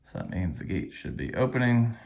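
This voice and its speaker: Low-voiced man casually walking through a setup. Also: sing-song, brisk, vocal fry, a little mellow, muffled recording. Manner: tentative, thinking aloud while operating a device. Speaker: product reviewer demonstrating a device. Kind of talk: casual hands-on tutorial narration.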